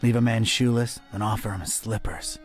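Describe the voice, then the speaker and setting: An actor playing a wisecracking, cynical detective in a cartoon adventure game, wry and world-weary in noir-parody style over music. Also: male voice, fairly low pitch, fairly even intonation, normal speed, husky. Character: wisecracking hard-boiled detective man, cynical and grumbling. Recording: music under the voice.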